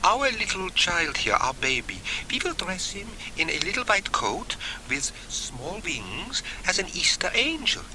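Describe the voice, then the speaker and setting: Guy doing a middle aged foreign lady for an audiobook, shy but eager n coaxing, high pitched, bit of tape noise. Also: sing-song, a little slow, few pauses. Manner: hesitant, coaxing, foreign-phrased speech. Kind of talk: audiobook character voice. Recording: noisy old cassette transfer.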